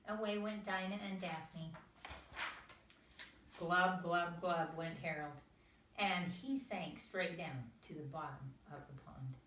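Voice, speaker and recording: An older woman, a grandmother, reading a children's story aloud in an expressive, deliberate manner. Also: fairly low pitch. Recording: roomy, distant mic, faint noise.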